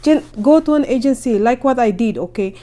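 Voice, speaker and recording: A woman's voice: a little high, fairly quick, dark tone. A woman vlogging at home with casual advice, chatty and encouraging, often checking in with her viewers. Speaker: vlogger giving caregiver job advice. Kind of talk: casual advice vlog to camera. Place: at home in Canada.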